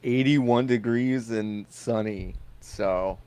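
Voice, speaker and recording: A man's voice: mid-range voice, takes it slowly, darkish tone, smooth. A man chatting casually as a podcast guest, joking and self-deprecating. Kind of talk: casual chat.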